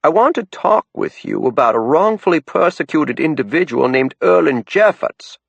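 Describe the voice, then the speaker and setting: A man's voice: fairly high pitch, animated, creaky, dry recording, dull audio, voice pushed up. Man doing a strident old woman with a thick Maine accent. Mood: insistent. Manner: slow, speechifying, insistent. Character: elderly woman, strident crusading aunt.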